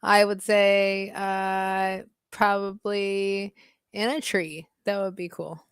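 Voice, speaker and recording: A woman's voice: mid-range voice, level tone, takes it slowly, smooth, no echo, clean studio sound. A woman hosting a casual chat, reading out party questions and thinking them over aloud with hums and giggles, over a video call. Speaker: host.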